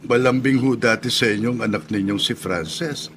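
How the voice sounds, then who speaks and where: Isang lalaking aktor na gumaganap bilang magalang at alangang drayber sa dulang panradyo. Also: male voice, mid-range voice, normal speed, a bit bright, lightly acted. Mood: concerned, tentative. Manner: deferential, hesitant. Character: meek, concerned male family driver. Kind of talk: Filipino radio drama acting. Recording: noisy vehicle ambience.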